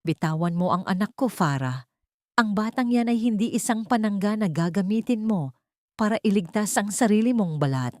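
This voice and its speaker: A woman's voice: mid-range voice, expressive, moderate pace, darkish tone, dry recording, studio quality, pitched above the performer's own voice, lightly acted. Woman acting a fierce, desperate mother, Filipino accent. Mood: fierce, commanding. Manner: firm, pleading, commanding. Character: desperate, fiercely protective mother in an audiobook drama.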